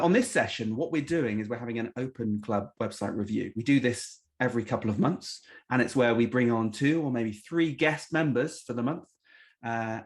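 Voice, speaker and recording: A man hosts a weekly marketing club over a video call. He lays out the session in a clear, organized way and brings in his guest. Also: mid-range voice. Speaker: host of a marketing club show. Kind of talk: show introduction and video call chat.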